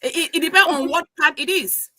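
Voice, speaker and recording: A woman's voice: extremely high pitch, fairly quick, sharp tone, no room sound, studio quality. A woman with broadcasting training chatting casually on a podcast. She is opinionated and slips into pidgin. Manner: opinionated, mixes pidgin into her English. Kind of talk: casual chat.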